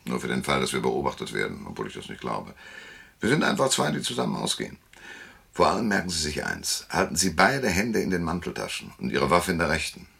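An actor playing a world-weary, laconic private detective who narrates in a dry, sardonic, gravelly way in a German noir radio drama. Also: male voice, very deep voice, sing-song, brisk, pushed and bright, dry recording, exaggerated voice. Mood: curt, commanding.

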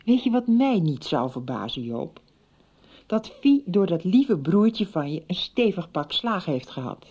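A woman's voice: fairly low pitch, sing-song, measured pace, warm tone, husky, exaggerated voice. A woman playing a suspicious, insistent wife in a radio soap, probing and reproachful. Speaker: actor in a radio serial. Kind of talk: 1990s Dutch radio soap acting.

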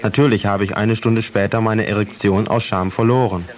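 A man's voice: on the low side, steady tone, moderate pace. A man in his thirties delivering a scripted confessional monologue for radio. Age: 30s.

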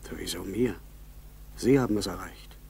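An actor playing a plain-spoken, companionable military man in a 1960s German radio play, with a very husky voice. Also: low-pitched, moderate pace, darkish tone, slight background noise. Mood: approving.

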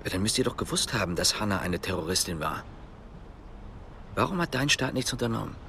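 An actor playing a wary East German police investigator in a naturalistic radio drama, putting short, probing questions. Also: male voice, fairly low pitch, sing-song, brisk, pushed and bright, slight background noise, exaggerated voice. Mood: probing, puzzled.

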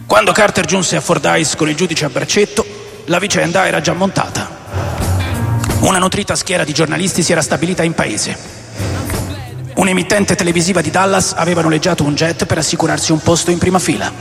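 Gravelly rebellious rock musician in reverb. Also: male voice, on the high side, fairly even intonation. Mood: animated, indignant. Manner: deliberate, theatrical pauses. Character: aging rebellious rock musician recounting his wild past. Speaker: radio drama narrator. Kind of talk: dramatic first-person memoir narration. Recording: long reverb over music.

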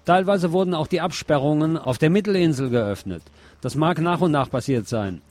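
A man hosting a radio show, presenting commentary and reading news aloud in a deliberate way with pauses. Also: fairly high pitch, expressive, a little mellow.

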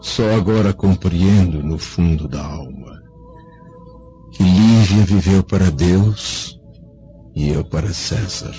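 A Brazilian man acting a repentant, sorrowful old Roman senator in a religious radio drama, speaking gravely and slowly. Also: deep voice, dark tone. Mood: remorseful, mournful. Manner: slow, grave, mournful. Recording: dull lo-fi audio with music.

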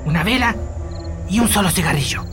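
A man's voice: high voice, lively intonation, a little fast. A Chilean man playing a suspicious, stern shantytown watchman in a radio drama. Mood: gruff, relenting. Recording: music under the voice.

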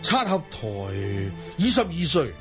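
An actor playing a proud middle-aged Mongol ruler in a Cantonese radio drama, stately and emphatic. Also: male voice, medium pitch, huge pitch swings, takes it slowly, music in the background. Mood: wistful, tender.

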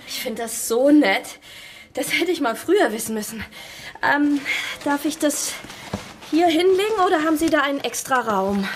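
A woman's voice: high-pitched, animated, normal speed, a little hiss or hum. An actress playing a disorganized, hesitant woman pleading with a tax office in a radio drama. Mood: chatty. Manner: hesitant, pleading, full of fillers. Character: flustered disorganized woman taxpayer Frau Holm.

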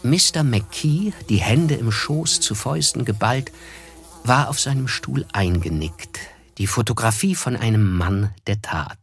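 A man's voice: mid-range voice, animated, unhurried. An actor playing a reflective, observant young man, narrating a radio drama in the first person with a wry, literary manner.

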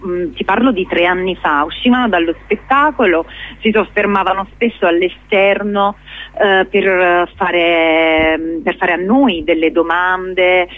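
Slow-paced woman on a phone-like line. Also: fairly low pitch. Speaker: interview guest, theatre company director. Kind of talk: informal radio interview. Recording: roomy, tinny phone-like line on radio.

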